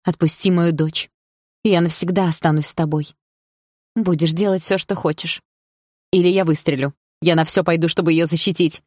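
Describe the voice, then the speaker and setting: A woman's voice: low-pitched, fairly even intonation, normal speed, darkish tone, slightly rough, no room sound, studio quality. A woman voicing a desperate, protective mother in an audiobook, emotional, pleading and defiant. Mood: firm, tender. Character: desperate protective mother, long-suffering wife standing up. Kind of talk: audiobook character dialogue.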